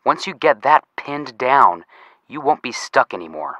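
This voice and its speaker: A man's voice: mid-range voice, big pitch swings, measured pace, bright, forceful tone, dry recording. A young man with an American accent playing a cheeky, casual amateur detective who jokes as he gives video game hints. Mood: teasing, brash. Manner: joking, casual, slangy. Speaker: detective helper giving hints. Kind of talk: scripted video game voice acting.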